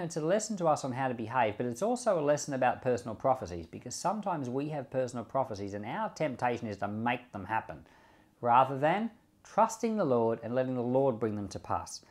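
A man's voice: medium pitch, darkish tone. A pastor giving conversational bible commentary and closing in prayer, often repeating words as he thinks aloud, with the odd light chuckle.

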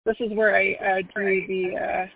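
A woman's voice: medium pitch, little pitch movement, normal speed, creaky, breathy edge, no echo. A woman hosting a podcast in casual, unscripted chat, informal and self-deprecating. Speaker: podcast host. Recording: tinny phone-like sound.

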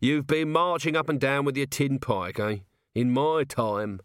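A man's voice: mid-range voice, a little slow, a bit bright, clean tone, no room sound, voice pushed up, close to an everyday voice. A man doing a weary, stern watch commander. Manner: dry, tired, clipped. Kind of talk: audiobook character voice.

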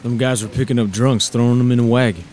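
A man playing an earnest, unsure newcomer at a logging camp in an audio drama, speaking haltingly. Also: mid-range voice, steady tone, a little mellow, natural voice. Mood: hesitant, earnest. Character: hesitant, earnest male newcomer logger. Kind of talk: screenplay audio drama acting.

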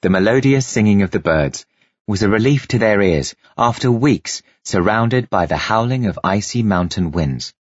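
Male storyteller for dramatic fiction narration; low, slightly rough voice, measured and expressive. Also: no room sound, lo-fi sound. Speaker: audiobook narrator.

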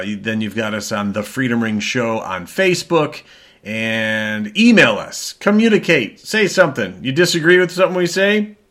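Bright-voiced rambling man hosting. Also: medium pitch. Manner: rambling, self-correcting. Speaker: podcast host. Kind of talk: casual chat.

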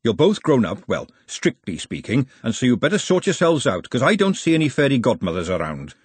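A man voicing a blunt, bossy but caring working-class woman in a storybook, brisk and scolding. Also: medium pitch, wildly swooping pitch, husky, no room sound, voice pushed up.

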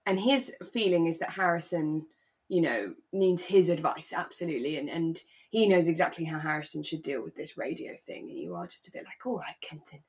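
A woman with a slightly low, husky voice chats as a podcast co-host. She talks in a relaxed, conversational way, working out her thoughts as she goes and checking for agreement. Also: a little fast. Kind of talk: relaxed chat discussing a radio drama.

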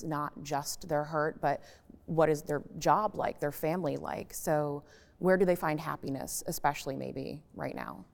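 A woman's voice: sits low, creaky, a little mellow. A woman who works as a radio journalist, talking conversationally as a panelist in a discussion. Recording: echoey hall-like reverb.

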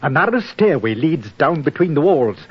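A dull old radio recording features a proud, troubled laird. The voice is mid-pitched and husky, swinging widely and dramatically with emotion. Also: male voice, big pitch swings, moderate pace. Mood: subdued, explaining. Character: proud, troubled Scottish laird, father, middle-aged or older. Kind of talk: 1940s radio drama acting. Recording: muffled, lo-fi 1940s radio recording.

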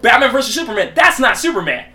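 A man's voice: very high voice, very animated, fast talker, sharp tone. A man co-hosting a casual film debate, emphatic and profane, repeating himself to drive his points home. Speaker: podcast co-host.

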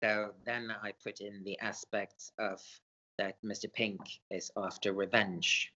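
A man's voice: on the low side, level tone, unhurried, bright, forceful tone, muffled recording. A man born in Sweden, an author answering interview questions hesitantly with many fillers. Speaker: author guest.

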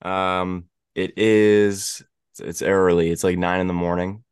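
A young man hosting a casual sports podcast, stuffy from a head cold. His voice sits very low. He talks in halting stretches, restarting often, and the sound is dry and close-miked. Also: little pitch movement, clear, resonant tone, no echo. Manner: halting, many restarts and fillers. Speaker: podcast host.

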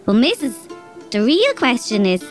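A woman's voice: a little high, very animated, a little slow, faint music behind. An actress with an Irish accent playing a tough, bossy robber girl who also narrates. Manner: bossy, threatening, blunt. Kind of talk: audio drama acting.

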